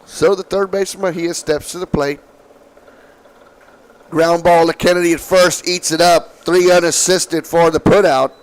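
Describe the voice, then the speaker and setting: Man, softball play-by-play, slightly raspy, allergies, high-ish voice, calm measured calls. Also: poor audio. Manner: calm, measured calls, excited on big hits. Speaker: play-by-play announcer.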